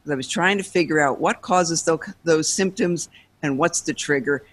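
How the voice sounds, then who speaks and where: A woman being interviewed as a guest, telling her story in a lively way and laughing between thoughts. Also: low-pitched, moderate pace. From United States.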